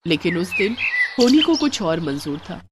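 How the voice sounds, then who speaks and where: A woman's voice: lowish voice, sing-song, moderate pace, background noise. A woman narrating a scripted moral bedtime story for children.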